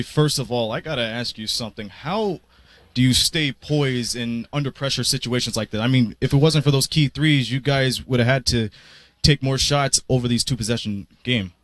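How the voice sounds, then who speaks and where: A man's voice: mid-range voice, fairly bright tone, no echo. A man in his twenties hosting a post-game recap as a sports reporter, with a showy sportscaster delivery. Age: 20s. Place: indoor basketball arena, courtside. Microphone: handheld microphone close to mouth.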